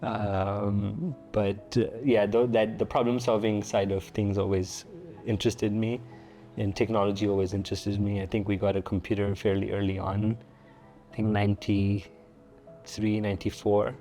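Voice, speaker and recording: A middle-aged man from Tanzania speaks as an interview guest, relaxed and unhurried, with frequent fillers and small restarts. His voice sits low and mellow, and he keeps it fairly flat. Soft music plays faintly behind him. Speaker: founder and guest. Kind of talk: casual interview talk.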